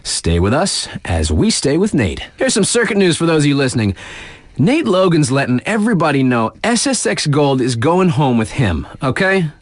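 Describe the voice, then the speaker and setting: A cool, upbeat radio DJ for a snowboarding game. His voice sits a little high and swoops with lively inflection. He delivers news patter in chummy slang, hyping the riders, in a close, dry studio sound. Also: moderate pace, no room sound. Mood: upbeat, hyped. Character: upbeat, cool male mountain radio DJ, laid-back hype.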